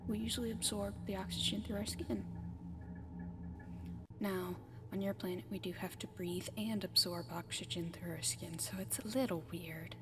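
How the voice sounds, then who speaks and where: A woman playing a friendly, apologetic alien caretaker in an ASMR roleplay, speaking gently and haltingly and often slipping into whispers. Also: medium pitch, heavy background noise, close to an everyday voice. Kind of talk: soft-spoken ASMR roleplay addressed to the listener.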